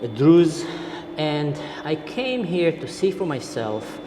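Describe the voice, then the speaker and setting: Halting Israeli-born host in echoey room. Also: male voice, on the high side, very animated. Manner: halting, many fillers and restarts. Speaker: podcast host, interviewer. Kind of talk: interviewer questions. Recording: echoey room with clear background noise.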